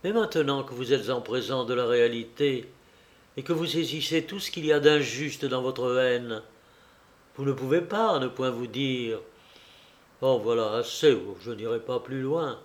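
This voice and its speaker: A man's voice: mid-range voice, measured pace, natural voice. A man voicing a proud, noble Corsican countess in an audiobook, dignified and pleading, his own voice barely changed. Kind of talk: audiobook reading.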